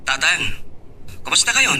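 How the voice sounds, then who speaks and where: A man's voice: fairly high pitch, very animated, a little slow, sharp tone, slightly rough, recorded from a distance, exaggerated voice. A man playing a respectful, affectionate young son in a radio drama, heard over a phone call.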